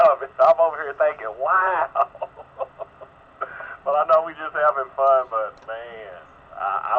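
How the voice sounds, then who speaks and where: A man chats casually and in a friendly way as an amateur radio operator. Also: a little high. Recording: tinny narrow radio link, faint noise.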